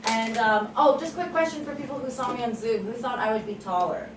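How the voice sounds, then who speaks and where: A woman hosts an informal event, picked up from a distance in an echoey room. Her voice is fairly high, and she chats casually in a giggly, informal way. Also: brisk, background noise. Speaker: host and writing teacher. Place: large indoor room at a live event. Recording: echoey room, distant mic, loud crowd.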